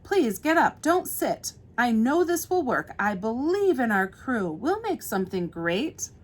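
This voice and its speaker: A woman's voice: on the high side, sing-song, unhurried, voice pushed up. A woman voicing an encouraging, cheerful paint splatter from a picture book, with emphatic, earnest delivery. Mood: urging, hopeful.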